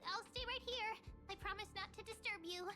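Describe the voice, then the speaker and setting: A woman's voice: extremely high pitch, fairly quick, music in the background. A woman playing a cute, playful memory sprite in an anime-style video game, theatrical in manner. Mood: curious, gentle.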